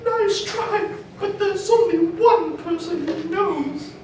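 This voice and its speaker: Woman playing a very high-pitched defiant superhero. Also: slow, a little mellow, a little hiss or hum. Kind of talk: live amateur script reading, superhero drama. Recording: very echoey panel room, distant mic.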